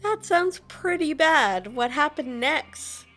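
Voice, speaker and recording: Woman, lively high voice, voicing her player in casual game role-play; playful with light music under. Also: takes it slowly. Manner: playful, giggly, teasing. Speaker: gameplay narrator voicing the player character.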